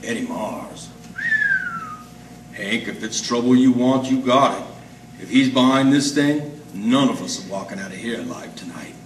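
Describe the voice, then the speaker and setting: A man's voice: medium pitch, measured pace, close to an everyday voice. Male actor as blunt, wary noir partner, 1940s radio style, mid-range voice, roomy sound with faint noise. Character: wary, gruff-talking noir sidekick man. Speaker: amateur stage radio-drama actor. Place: live performance in an art gallery. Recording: distant, echoey room with faint noise.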